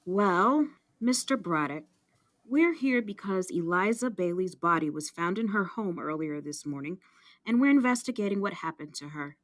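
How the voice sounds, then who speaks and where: A woman's voice: fairly low pitch, animated, normal speed, natural voice. An actress playing a formal, matter-of-fact female police detective in an audio drama. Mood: official, grave.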